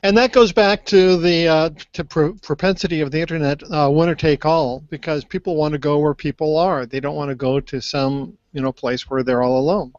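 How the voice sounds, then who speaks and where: A man's voice: a little high, steady tone, normal speed, few pauses, darkish tone, dry recording. An older man giving his opinion in a casual panel chat, speaking into a handheld microphone. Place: small room indoors on a video call. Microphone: handheld microphone held close. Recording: dull lo-fi video call audio.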